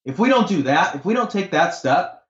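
A man's voice: high-pitched, very animated, normal speed. A man in a podcast conversation, talking in an assertive, impassioned way that turns to swearing when he gets heated. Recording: roomy, dull lo-fi sound.

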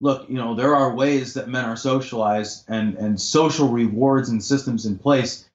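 A man's voice: mid-range voice, moderate pace. A man in a podcast conversation, talking in an assertive, impassioned way that turns to swearing when he gets heated. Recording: roomy, dull lo-fi sound.

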